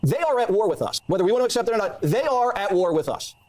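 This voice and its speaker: A man with a high voice speaks quickly and emphatically. He rallies his audience in an impassioned political address. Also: sing-song, a little mellow. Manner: emphatic, rallying.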